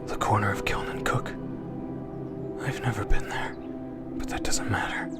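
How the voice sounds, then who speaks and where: A man voicing a tall, frightened and disgusted fiancé in a hushed radio drama performance. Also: fairly low pitch, expressive, normal speed, whispered, loud music behind the voice, lightly acted. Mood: horrified, disgusted. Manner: hushed, stammering.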